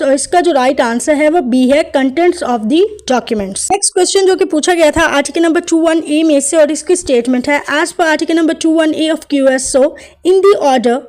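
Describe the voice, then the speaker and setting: A woman reads law exam questions aloud as an online tutor, with a high, light voice. She takes each line slowly and carefully, giving the options and the right answer one by one. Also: a little mellow. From Pakistan. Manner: slow, deliberate reading of legal text, brisker between questions.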